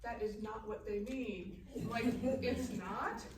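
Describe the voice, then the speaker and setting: A woman's voice: mid-range voice, takes it slowly. A woman from Northern California speaking on a filmmakers' panel, telling stories expressively and acting out the dialogue. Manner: expressive storyteller who acts out dialogue. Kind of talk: animated anecdote and audience Q&A. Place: echoey indoor live event room. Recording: echoey room, distant mic, noisy.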